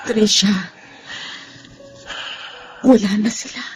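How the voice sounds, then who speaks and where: An actress playing a caring, soothing older aunt in a Filipino radio drama. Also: female voice, mid-range voice, fairly even intonation, slow pace, natural voice. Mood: sorrowful, soothing. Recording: voice under dramatic music.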